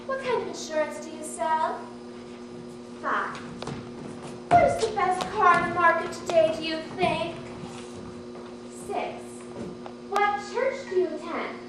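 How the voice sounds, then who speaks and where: Woman acting a high, honeyed aunt on stage. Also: unhurried, long pauses. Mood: coaxing, instructing. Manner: honeyed, theatrical, pleading. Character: genteel, coaxing older Southern aunt drilling her niece in charm. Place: theatre stage, recorded from far off. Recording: distant, echoey stage recording with loud noise.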